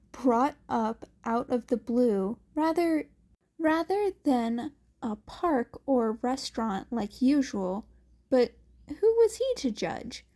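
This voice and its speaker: A woman's voice: on the high side, warm tone. A woman reading scripted fan fiction narration.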